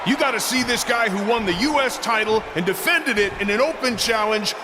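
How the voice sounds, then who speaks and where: A man's voice: high-pitched, lively intonation, brisk. A man playing a confident veteran star wrestler delivering a theatrical challenge in a wrestling game. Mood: taunting, building. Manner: theatrical, repetitive build-up. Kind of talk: in-ring wrestling promo. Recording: crowd and noise in arena. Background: arena crowd.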